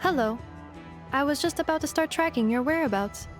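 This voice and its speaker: Game robot helper, female actor: young, polite, literal, precise, slightly high voice, faint music behind. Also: brisk, lightly acted. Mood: helpful. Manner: formal, precise, analytical. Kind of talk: scripted game dialogue.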